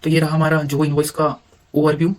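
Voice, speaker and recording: An Indian man giving an informal software walkthrough, halting at times and mixing English terms into his speech. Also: on the high side, monotone. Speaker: software demonstrator. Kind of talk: informal screen-recorded tutorial walkthrough.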